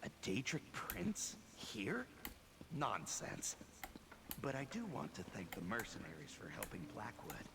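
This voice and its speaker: A man's voice: fairly low pitch, wildly swooping pitch, unhurried, vocal fry, heavily acted. A man voicing a gossipy, sceptical lizard townsman in a video game, recorded with noise and long reverb. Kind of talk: video game character acting. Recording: noisy, long hall reverb.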